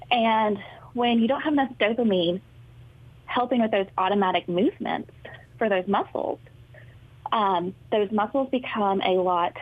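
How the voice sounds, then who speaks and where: A woman's voice: mid-range voice, no echo. Woman speech therapist on a phone line. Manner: friendly, informative, steady explaining. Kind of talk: explaining in a talk show interview. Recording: thin phone-line sound, dry.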